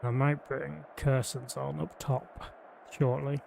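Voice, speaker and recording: A man's voice: medium pitch, little pitch movement, takes it slowly, gravelly, a little mellow, a little hiss or hum. A man from Yorkshire streaming a football management game, chatting casually and thinking aloud with fillers and restarts. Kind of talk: live game commentary and chat.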